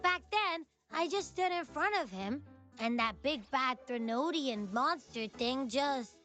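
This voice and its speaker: A woman voicing a boastful, always-hungry childlike little creature companion in a video game, cocky, excitable and whiny. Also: high voice, measured pace, soft background music. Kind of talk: animated video game voice acting.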